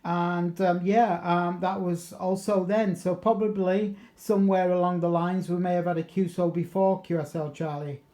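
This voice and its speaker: A middle-aged man with a fairly high, mellow voice chats casually over amateur radio. He rambles along in a chatty way and drops in radio jargon. The room around him sounds noticeably echoey. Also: little pitch movement, normal speed, hardly any pauses. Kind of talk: casual radio contact chat.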